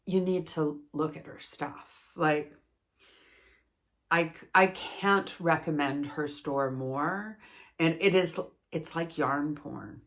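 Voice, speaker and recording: Canadian woman, host of a craft review video, low-pitched and unhurried, rambling and self-deprecating. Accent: Canadian English. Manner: chatty and rambling, self-deprecating, laughs at herself. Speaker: yarn reviewer, channel host. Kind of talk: casual chat, product review.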